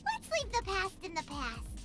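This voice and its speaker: A woman voicing a small, excitable, cutesy childlike companion in a video game, speaking in the third person. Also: very high voice, moderate pace, heavily acted. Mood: resigned. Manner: cutesy, childish, refers to self by name. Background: loud game music.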